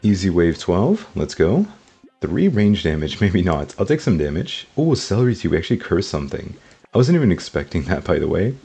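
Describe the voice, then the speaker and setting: A man with a slightly sick, rough throat, giving upbeat casual commentary over his gameplay and talking to his viewers. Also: deep voice, mellow. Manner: upbeat, talks to viewers as guys. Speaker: gaming YouTuber.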